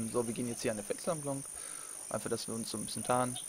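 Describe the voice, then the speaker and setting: A man's voice: medium pitch, fairly even intonation, fast talker, creaky, pushed and bright, a little hiss or hum. A man with a slight cold hosting a let's play, chatting casually with friends and laughing often. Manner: laid-back, laughs often, jokey sound effects. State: has a cold. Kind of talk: casual gaming chat with friends.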